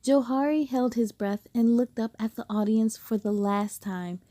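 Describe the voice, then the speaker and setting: A woman reading a children's book aloud with expressive storytelling and dramatic emphasis. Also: fairly high pitch, normal speed, dry recording, studio quality. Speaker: storybook reader.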